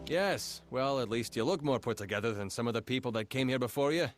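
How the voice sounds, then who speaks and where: An actor playing an officious, self-important gate registrar in a video game, speaking in a formal, fussy manner. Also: male voice, mid-range voice, fast, music in the background, close to an everyday voice. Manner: formal, fussy, stammers when flustered.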